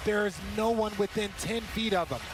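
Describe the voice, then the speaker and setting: Male color commentator, high register, analytical game talk, very loud arena backdrop. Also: moderate pace. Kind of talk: analytical live sports commentary. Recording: loud arena noise behind voice.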